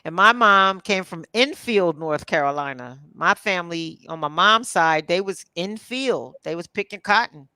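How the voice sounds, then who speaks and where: A woman from Philadelphia chatting casually as a co-host, relaxed and chatty. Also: fairly low pitch, smooth, no echo. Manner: relaxed, chatty, drawn-out fillers. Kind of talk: casual chat.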